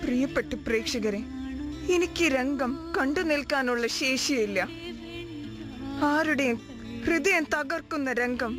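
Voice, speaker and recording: A woman's voice: high voice, measured pace, pauses often, over music. A woman playing a melodramatic, intrusive TV reporter with an affected manner in a radio drama. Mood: mock-solemn. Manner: affected, theatrical, mixes in English.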